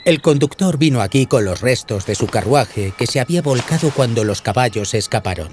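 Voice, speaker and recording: A man acts out an attentive hotel maître in an audiobook drama. He is polite and deferential, in a medium-pitched voice with faint noise behind it. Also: expressive, brisk, a little mellow, natural voice. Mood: polite, explaining. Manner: polite, solicitous.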